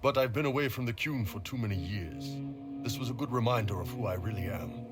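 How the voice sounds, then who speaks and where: Big, loyal, sardonic mercenary captain for a fantasy game, played by a man: very low, gruff, over music. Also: a little fast, close to an everyday voice. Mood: resolute, frank. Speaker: mercenary leader. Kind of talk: video game cutscene acting. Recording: music behind the voice.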